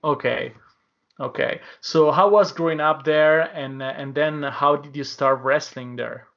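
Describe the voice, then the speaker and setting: A man hosting a podcast interview, speaking in quick bursts with many fillers and grammar. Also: fairly high pitch, steady tone, vocal fry, a bit bright, highs cut off. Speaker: podcast host.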